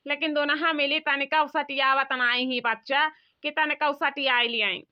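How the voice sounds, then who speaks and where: A woman's voice: high-pitched, steady tone, moderate pace, no echo, voice pushed down, natural voice. A woman voicing a worried old village grandmother in a comic cartoon, lamenting and repeating herself with an Awadhi accent. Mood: weary worry. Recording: dull lo-fi cartoon soundtrack.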